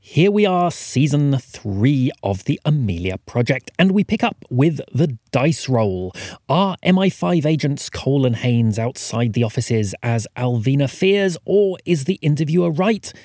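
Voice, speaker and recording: A man's voice: mid-range voice, very animated, a little slow, darkish tone, dry recording, studio quality. A man hosting a podcast, reading a scripted announcement. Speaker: podcast host.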